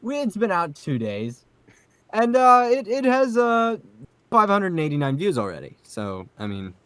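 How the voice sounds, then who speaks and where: Male podcast host, animated and forceful, excitable joker in casual conversation, swinging widely in pitch. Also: moderate pace, dry recording. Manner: excitable, animated, jokey, mildly sweary. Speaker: podcast host and amateur voice actor. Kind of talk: casual group podcast chat.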